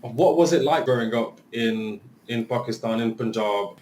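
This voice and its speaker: A man's voice: medium pitch, moderate pace, a little mellow, a little hiss or hum, poor audio. A man hosting a podcast, reading an upbeat intro and then asking interview questions. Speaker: podcast host.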